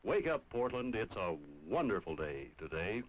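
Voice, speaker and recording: A man's voice: fairly low pitch, big pitch swings, moderate pace. A man doing 1950s morning radio announcing as a disc jockey. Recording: dull 1950s radio sound.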